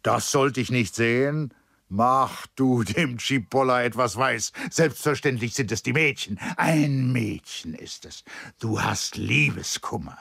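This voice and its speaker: A man's voice: mid-range voice, a little slow, creaky, a bit bright, husky, no room sound, clean studio sound, voice pushed up, full character voice. A man voicing a domineering male stage magician who coaxes and presses in a theatrical, insistent way, read as part of an audiobook. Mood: coaxing.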